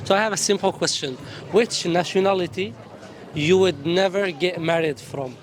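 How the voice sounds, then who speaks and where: A man from Yemen hosting a street-interview video, asking passers-by questions in a rapid, eager, friendly way. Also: sits high. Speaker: street interviewer and channel host. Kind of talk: fast upbeat street-interview chat. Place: city street outdoors.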